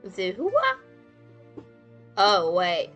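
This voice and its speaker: A woman streams a video game with casual, rambling commentary. Her voice is bright and her pace unhurried, with a playful way of talking to herself. Faint game music sits behind. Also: medium pitch, huge pitch swings, dull audio. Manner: playful, rambling self-talk. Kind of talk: casual live gameplay commentary.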